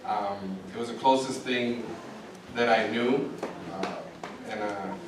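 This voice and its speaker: A middle-aged man, a police officer from Puerto Rico and Milwaukee, giving a halting, reflective answer on a panel. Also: on the low side, steady tone. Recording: echoey hall, distant mic, background noise.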